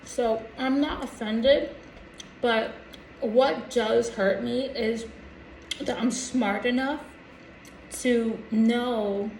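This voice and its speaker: An American woman chatting casually to camera as a vlogger, in a halting, reflective way that trails off mid-thought. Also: fairly high pitch, steady tone, creaky, a little mellow. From United States. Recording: roomy echo with background noise.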